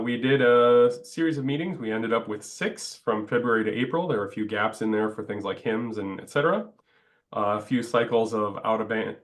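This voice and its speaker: Man, low voice, stuffed-up nose, webinar presenter, halting with lots of uh. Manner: halting, many "uh" fillers. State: congested, stuffed up. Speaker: project presenter.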